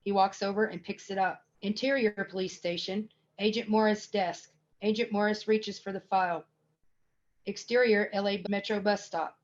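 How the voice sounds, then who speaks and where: A woman's voice: medium pitch, fairly even intonation, moderate pace. Woman reading stage directions, slightly muffled. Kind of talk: scripted narration of screenplay directions.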